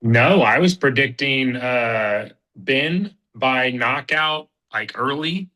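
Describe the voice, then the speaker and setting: A man's voice: mid-range voice, expressive, slow pace, pushed and bright. A man in his thirties from Kansas City, a fighter chatting casually as an interview guest, rambling with fillers and restarts. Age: 30s. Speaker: guest, bare-knuckle fighter.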